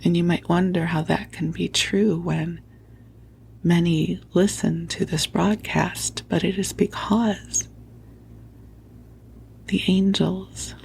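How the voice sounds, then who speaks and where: A woman speaks in a slow, hushed whisper with a crackly, creaky edge. She is tender and soothing, like a guide easing a listener to sleep with spiritual talk. The microphone is very close, with a faint noise behind her. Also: fairly low pitch, steady tone. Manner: soothing, tender, unhurried, affectionate. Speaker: sleep podcast host and spiritual teacher. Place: at home under a blanket.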